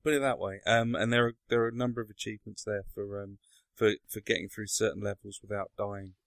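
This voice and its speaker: A man's voice: lowish voice, fairly even intonation, quick pace, vocal fry, a bit bright, dry recording. A man hosting a casual podcast chat, talking hesitantly with many ums and restarts. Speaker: podcast host.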